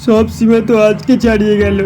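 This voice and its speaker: A man plays a brash young male thief in a funny cartoon. The voice is high yet monotone, with a sly, slangy delivery. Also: fast, music in the background, natural voice. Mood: mock pitiful. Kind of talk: comic cartoon dubbing.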